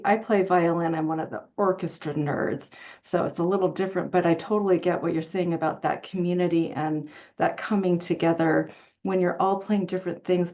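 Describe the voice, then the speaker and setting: A woman's voice: lowish voice, a little mellow. A woman moderating an author discussion in an informal, enthusiastic, conversational way, on a dull, band-limited recording. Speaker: interviewer, event moderator.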